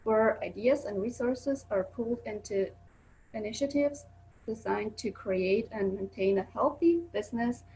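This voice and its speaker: A woman's voice: medium pitch, mellow, a little hiss or hum, low-quality recording. A young woman from Switzerland with an accent, earnestly giving a student webinar presentation over a video call, with frequent ums. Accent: Swiss.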